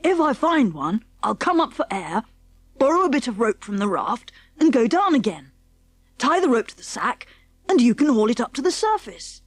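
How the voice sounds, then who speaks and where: Woman playing a high-voiced, plucky English schoolboy. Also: big pitch swings, no echo, faint music behind. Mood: determined. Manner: brisk, hearty, old-fashioned British schoolboy diction. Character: confident, eager, plucky schoolboy adventurer. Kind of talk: children's audiobook character acting.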